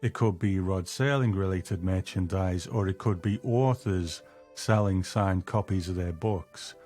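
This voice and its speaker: A man's voice: deep voice, a little slow, a little mellow, faint music behind. A man hosting a show, delivering scripted narration. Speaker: podcast host.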